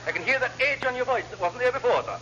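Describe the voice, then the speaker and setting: A high, swooping-voiced naval engineering officer from a classic radio comedy. He rambles cheerfully and apologetically, doubling back on his phrases, over clearly noisy old audio. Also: lively intonation, fast talker, sharp tone, lo-fi sound, full character voice. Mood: blithe, apologetic. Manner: rambling, chatty, repeats himself. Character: dithering, cheerfully apologetic naval engineering lieutenant, blithely incompetent. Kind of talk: classic radio sitcom acting.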